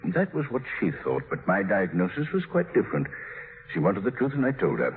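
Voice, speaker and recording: A man acting a grave, reserved physician in an early 1950s radio drama, speaking formally and with measured care. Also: fairly low pitch, huge pitch swings. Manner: formal, measured, hesitant when recalling. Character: grave male physician giving evidence, reserved and proper. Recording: tinny, hissy 1950s radio recording.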